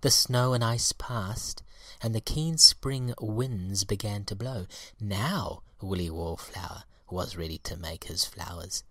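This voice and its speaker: Male reader for children's story, husky and breathy, measured expressive narration, close dry recording. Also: fairly low pitch, dry recording.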